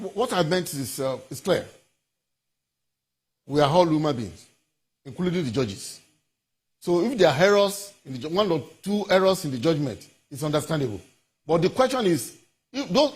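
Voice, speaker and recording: A Nigerian man with a high, rough-edged voice speaks as a legal analyst in an interview. He is deliberate and emphatic, often stopping and repeating words as he lays out his points. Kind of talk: formal legal commentary in a TV interview.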